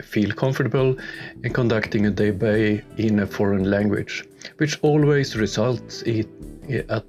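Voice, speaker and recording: A Swedish-accented man speaks English slowly, with a fairly low voice. His commentary is calm, dry and sarcastic. Quiet music plays behind him. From Sweden. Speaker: YouTuber debunking videos. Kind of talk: scripted commentary voice-over.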